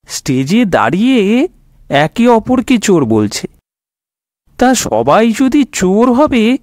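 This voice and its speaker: A man's voice: sits high, darkish tone, no echo, clean studio sound, pitched above the performer's own voice, heavily acted. A man with an Indian Bengali accent voicing a curious, doubtful young companion who questions a storyteller in a comedy cartoon.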